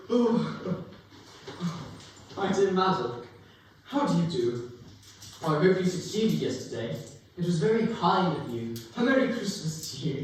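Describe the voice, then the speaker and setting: Young man as high-voiced elderly gentleman, echoing hall. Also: full character voice. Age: young adult. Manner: theatrical, exclamatory. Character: elderly reformed miser, joyful, gentlemanly and generous. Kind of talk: dramatic stage reading of Victorian story. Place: museum room, live performance. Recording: roomy echoing hall, distant mic, faint noise.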